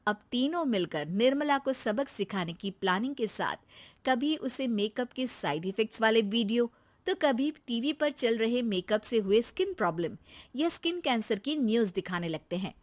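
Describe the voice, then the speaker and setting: A woman's voice: on the high side, big pitch swings, normal speed. A woman reading scripted narration for a family moral tale as a storyteller.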